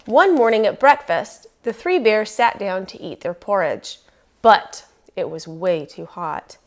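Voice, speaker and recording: Woman narrator for children's read-aloud: medium pitch, emphatic, expressive, lingers on key phrases. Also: measured pace. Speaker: storyteller.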